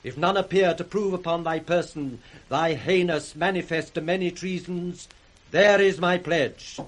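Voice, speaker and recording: Male actor as righteous duke, slightly high voice, stern, formal, firm, declamatory, vintage radio Shakespeare. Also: a little slow, natural voice. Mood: stern, accusing. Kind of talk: 1960s radio Shakespeare acting.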